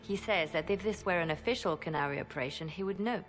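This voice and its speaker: A woman's voice: fairly low pitch, fast talker, lightly acted. A woman playing a cool, composed spymaster in a video game, giving reports in a controlled, matter-of-fact way. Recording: soft music behind.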